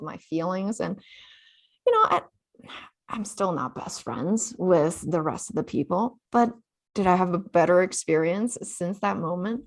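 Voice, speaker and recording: Animated woman with a gravelly edge. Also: mid-range voice. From China. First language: Chinese. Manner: animated, frequent "you know" fillers. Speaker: author guest. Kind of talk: casual storytelling interview.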